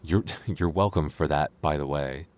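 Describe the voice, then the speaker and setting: A man's voice: rumbling low, normal speed, a little mellow. A man playing a clingy, jealous boyfriend in an intimate audio roleplay, stammering and mock-indignant but affectionate. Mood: tender, teasing.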